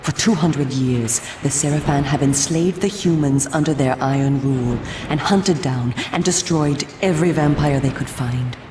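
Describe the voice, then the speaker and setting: An actress voicing a solemn, coolly persuasive female vampire resistance agent in a video game, speaking in a formal, measured, theatrical way. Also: extremely low pitch, reverberant, background noise. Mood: grave, expository. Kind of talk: scripted video game cutscene acting.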